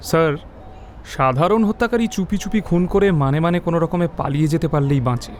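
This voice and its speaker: A man's voice: on the high side, expressive, fast talker, a little hiss or hum, close to an everyday voice. A man playing a deferential, earnest police officer in an audio drama. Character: deferential police officer reporting to his chief.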